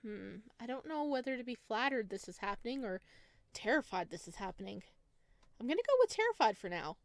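High-pitched, expressive woman gamer. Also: a little fast. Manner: expressive, playful, dramatic. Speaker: streamer playing a visual novel. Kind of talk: let's play, reading game narration aloud with reactions. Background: game music.

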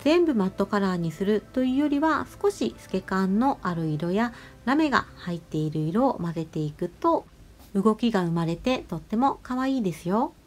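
A woman nail artist narrating a tutorial voice-over, explaining each step politely and giving gentle advice. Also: mid-range voice, animated, moderate pace. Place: voice-over over close-up salon footage. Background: music.

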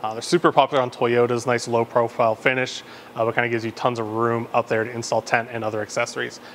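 Young male shop presenter, easygoing, mid-pitched, lapel mic in a garage workshop. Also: quick pace, slight background noise. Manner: relaxed, frequent ums and uhs. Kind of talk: casual product walkthrough. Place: large indoor garage workshop.

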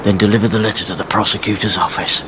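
A man's voice: on the low side, fairly even intonation, rapid-fire, background noise, natural voice. A man playing a domineering, calculating schemer in a radio drama, with a controlling, impatient manner. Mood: commanding, impatient. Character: scheming, domineering male conspirator, coolly calculating.

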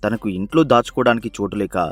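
A man's voice: lowish voice, fast, no echo, soft background music, lightly acted. Man playing an earnest, coaxing young son. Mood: persuasive. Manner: respectful, persuasive. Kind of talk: dramatised moral story for cartoon.

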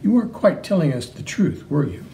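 A man's voice: on the high side, very animated, brisk, creaky, mellow, far from the mic, a little hiss or hum. A man voicing a firm, concerned female police officer who questions a child. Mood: probing.

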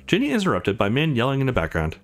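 A man's voice: mid-range voice, huge pitch swings, very fast. A man hosting a let's play, reading visual novel narration aloud, with music behind him.

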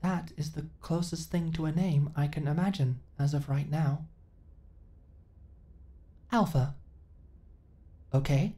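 Soft, low-voiced female android, acted by a woman. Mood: matter-of-fact. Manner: stilted, formal, literal, robotic phrasing. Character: earnest, naive female companion android learning emotions. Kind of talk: ASMR sci-fi audio roleplay.

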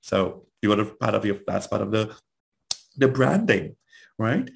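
Deep gravelly Trinidadian man. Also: very animated, a little slow. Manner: conversational, asks rhetorical questions. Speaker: webinar presenter, trainer. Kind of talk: webinar talk.

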